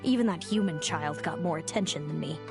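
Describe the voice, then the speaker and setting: Wounded fae older sister over music. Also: female voice, low voice, a little fast, gravelly. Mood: bitter, resentful. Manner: dramatic, wounded, confessional delivery. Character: bitter, tormented fae older sister with prophetic visions. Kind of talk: video game voice acting. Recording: music under the voice. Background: background music.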